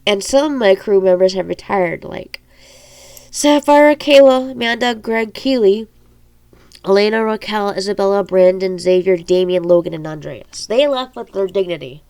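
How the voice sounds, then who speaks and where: A woman with a mid-pitched voice and a stuffed-up nose reads a fantasy story aloud. She is an amateur audiobook narrator, informal in her delivery, and she trips over words here and there. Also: normal speed, darkish tone, smooth. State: congested nose from allergies. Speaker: amateur audiobook reader and author.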